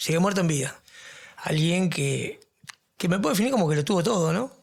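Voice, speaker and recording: A man's voice: high-pitched, animated, fairly quick, creaky. A middle-aged man, a podcast guest giving earnest testimony and searching for his words. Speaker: guest in recovery. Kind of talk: podcast interview, personal testimony.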